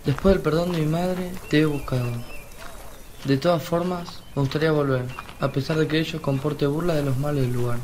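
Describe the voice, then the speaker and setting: A man's voice: fairly high pitch, steady tone, a little fast, a little mellow, slight background noise, natural voice. An actor playing a fervent young male disciple, a repentant former sinner, earnest and declamatory in a radio drama.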